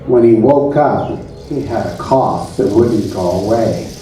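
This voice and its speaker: A man performing on a theater stage in a comedy show, speaking with deadpan, mock-earnest solemnity as a presenter. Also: lowish voice, measured pace. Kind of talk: comedy stage show, solemn story narration and audience address. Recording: echoey room, far from the mic, music.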